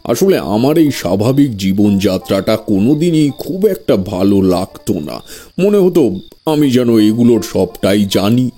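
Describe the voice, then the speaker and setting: A man playing a genial, grave older village tantric in an audio horror story, speaking slowly and formally. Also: lowish voice, big pitch swings, warm tone, faint music behind. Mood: reminiscing, mysterious. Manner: slow, deliberate, formal phrasing.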